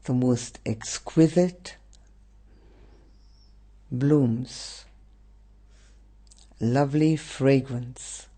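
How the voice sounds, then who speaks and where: A man leading a guided meditation as a teacher, speaking gently and soothingly with long pauses. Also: medium pitch.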